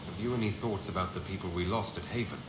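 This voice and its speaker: An actor playing a sincere, steady leader of a fantasy order in a game, direct and attentive. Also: male voice, low-pitched, quick pace, echoey room, very noisy, natural voice. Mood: curious.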